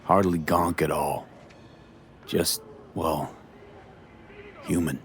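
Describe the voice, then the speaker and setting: Game role: grieving street merc, male, deep, low-key, sincere, terse, slight background noise. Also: fairly even intonation, very slow. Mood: gentle, concerned. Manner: low-key, clipped sentences dropping first words. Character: guarded young male street mercenary, earnest, subdued, grieving friend. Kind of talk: cinematic video game acting.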